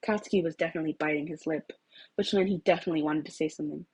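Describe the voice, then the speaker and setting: Female story reader, lower register, husky tone, expressive narration. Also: racing. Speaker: fan fiction reader.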